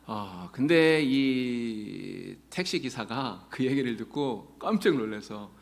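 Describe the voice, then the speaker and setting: A man's voice: mid-range voice, animated, slow, gravelly. A male pastor preaching a sermon in a church, earnestly addressing his congregation and mixing in folksy jokes. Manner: tells folksy jokes between teaching. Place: church sanctuary.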